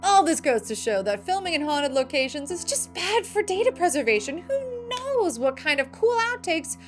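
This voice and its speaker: A woman's voice: extremely high pitch, big pitch swings, moderate pace, soft background music. A woman hosting a scripted film news show, with playful, emphatic delivery and slangy asides. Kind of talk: scripted entertainment news narration.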